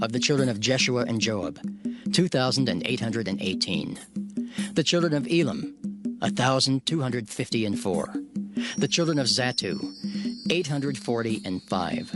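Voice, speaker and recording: A man narrating scripture in the first person in a dramatized reading, solemn and measured. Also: medium pitch. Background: music.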